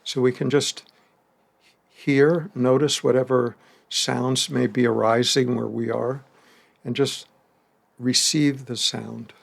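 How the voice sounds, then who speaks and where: A man leading a guided meditation, speaking slowly and gently with long pauses and the odd soft laugh. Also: mid-range voice, little pitch movement, creaky. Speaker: meditation teacher.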